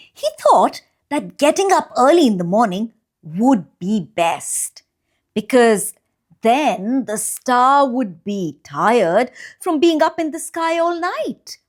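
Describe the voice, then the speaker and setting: A woman reading a children's bedtime story aloud, with expressive, deliberate phrasing and drawn-out pauses for young listeners. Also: high-pitched. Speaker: children's storyteller and channel host.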